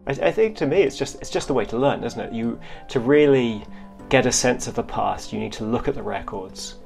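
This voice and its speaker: A man's voice: mid-range voice, brisk, creaky, a little mellow, soft background music. A man giving explanatory interview answers as an academic historian, often repeating and rebuilding his phrases as he goes.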